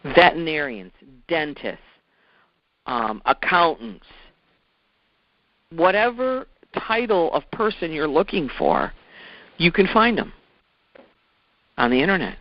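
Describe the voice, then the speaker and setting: Animated man teaching, in dull lo-fi audio. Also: a little high, slow, pauses often, dry recording. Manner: conversational, explanatory, step-by-step, repeats key points. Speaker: sales training presenter. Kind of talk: informal instructional talk.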